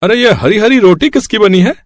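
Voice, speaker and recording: A man's voice: high voice, animated, a little fast, dry recording, studio quality. Man acting a chatty, helpful neighbourhood friend. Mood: impressed. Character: helpful street-vendor friend of the family.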